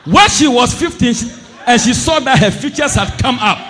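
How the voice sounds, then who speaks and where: Man, pastor, church sermon, very high pitch, animated delivery, impassioned. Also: moderate pace, some chatter behind, slight background noise. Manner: impassioned preaching, swings between shouted declarations and low asides. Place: church service.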